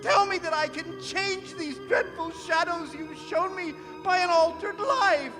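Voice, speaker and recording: An older man playing an anguished, repentant old miser in a stage radio drama, with a halting, theatrical delivery. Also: very high voice. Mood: desperate pleading. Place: performing arts center stage. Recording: reverberant theatre hall, music underneath.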